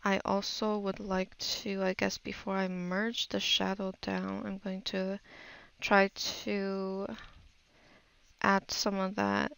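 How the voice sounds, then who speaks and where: A woman narrating a casual art tutorial, unhurried and musing aloud as she works. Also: medium pitch, completely flat delivery, gravelly, a bit bright, clean tone. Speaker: digital painting tutor.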